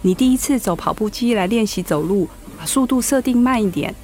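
An actress playing a gentle, caring, encouraging wife in a Mandarin radio drama. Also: female voice, fairly high pitch, animated, a little fast, a little hiss or hum, lightly acted. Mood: caring, concerned.

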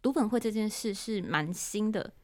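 A woman's voice: mid-range voice, expressive, moderate pace, darkish tone. A woman with a Taiwanese Mandarin accent hosting an interview, polite and attentive, phrasing her questions with care. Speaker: podcast host and scriptwriter. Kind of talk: conversational interviewing.

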